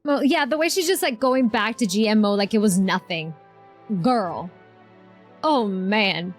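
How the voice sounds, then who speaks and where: A woman's voice: medium pitch, animated, unhurried. A woman streamer giving casual, gushing, slangy live reactions to a game. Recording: game music behind the voice.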